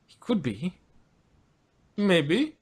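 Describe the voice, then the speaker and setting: A man co-hosting a podcast in casual group chat, with a halting, jokey way of talking. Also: high-pitched, sing-song, slightly rough. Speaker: podcast co-host.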